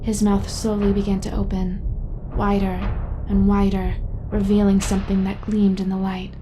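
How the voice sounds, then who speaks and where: A woman's voice: mid-range voice, completely flat delivery, normal speed, over music. A woman narrating a scripted audio drama as its storyteller.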